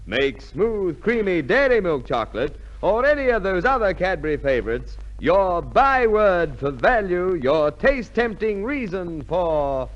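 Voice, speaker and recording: High, animated radio announcer. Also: male voice, unhurried, hardly any pauses. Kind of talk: 1950s radio advertising announcement. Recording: dull 1950s radio recording.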